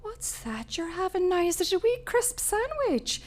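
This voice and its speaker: A woman's voice: very high voice, sing-song, a little slow, a little mellow, pitched above the performer's own voice, heavily acted. A woman voicing a nosy, scrounging older female neighbour in a story reading, falsely sweet and wheedling, with a Northern Irish accent. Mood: nosy, clucking. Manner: gushing, wheedling, gossipy.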